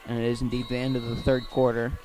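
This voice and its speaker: A man with an American accent giving live radio play-by-play of a football game, halting at times and correcting himself. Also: mid-range voice, completely flat delivery, a little mellow, rough recording. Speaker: play-by-play commentator. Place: outdoors at a football field.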